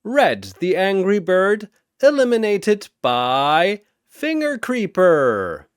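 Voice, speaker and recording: A man narrating a fantasy battle in a bombastic, theatrical style with drawn-out emphasis, like an arena announcer. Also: high-pitched, wildly swooping pitch, clear, resonant tone, no room sound, studio quality.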